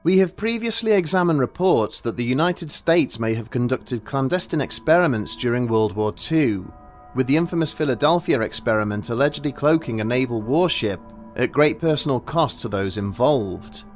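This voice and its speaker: A man narrating a scripted documentary story in a measured, grave and formal manner. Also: mid-range voice, lively intonation, soft background music.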